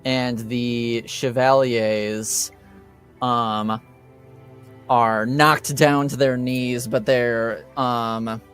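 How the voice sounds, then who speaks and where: A man running a tabletop game as game master, narrating casually and haltingly as he thinks aloud. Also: mid-range voice, flat delivery, fairly bright tone, faint music behind.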